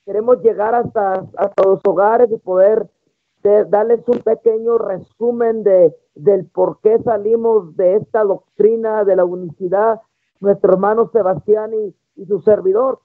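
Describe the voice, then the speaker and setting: Man in his twenties, high-pitched, halting preacher, dry phone-like recording. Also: steady tone, no room sound. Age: 20s. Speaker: preacher hosting a live church broadcast. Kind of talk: informal theological talk. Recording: tinny, muffled phone-like live stream.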